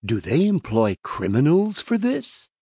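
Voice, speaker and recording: A foreign traveler in an audiobook drama, curious and puzzled. His husky voice moves slowly but swings very widely in pitch, in a dry studio sound. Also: wildly swooping pitch, warm tone, no room sound, exaggerated voice. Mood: shocked. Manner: questioning, puzzled. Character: tall foreign traveler, curious and startled adult man.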